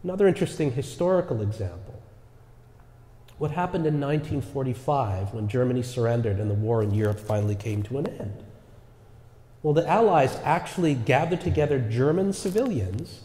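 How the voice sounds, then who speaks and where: A man's voice: mid-range voice, warm tone. A male pastor giving a sermon earnestly, often checking in with the congregation through rhetorical asides. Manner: earnest preaching with repeated rhetorical "right?" asides. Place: church sanctuary. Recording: echoey church with long reverb.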